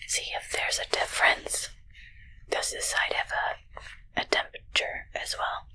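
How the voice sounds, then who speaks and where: A woman voicing an awkward alien posing as a medic in a whispered ASMR roleplay, with halting, broken speech and a puzzled manner. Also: sharp tone, low-quality recording. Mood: pleased.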